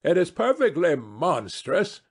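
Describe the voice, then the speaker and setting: A man's voice: on the high side, very animated, unhurried, breathy edge, pitched above the performer's own voice, exaggerated voice. A man voicing a witty, languid, cynical aristocrat in an audiobook, with suave, drawling delivery of his epigrams. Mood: mock serious. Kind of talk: audiobook character voice.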